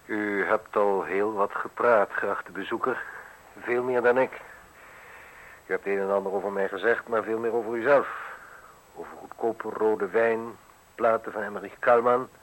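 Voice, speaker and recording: A man's voice: lowish voice, fairly even intonation, moderate pace, natural voice, poor audio. An actor in a radio play voicing a suspicious, wealthy man who questions tersely and with dry irony. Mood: sardonic, probing. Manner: terse, probing, drily ironic. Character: suspicious, curt, wealthy male villa owner, coolly sardonic. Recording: hissy old radio play recording.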